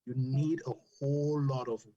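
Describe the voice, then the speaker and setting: A man's voice: mid-range voice, steady tone, unhurried, dark tone, lo-fi sound. A man from Cameroon, a doctor speaking earnestly as a podcast guest, often restarting his sentences. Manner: earnest, advocating, with frequent restarts. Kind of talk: earnest explanatory podcast talk.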